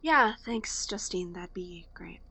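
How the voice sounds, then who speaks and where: A woman's voice: on the low side, animated, slow pace, creaky, bright, forceful tone, breathy edge, no echo, exaggerated voice. A woman playing a hurt, guarded young woman in an audio drama, flustered and pointedly sarcastic. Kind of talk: naturalistic audio drama acting.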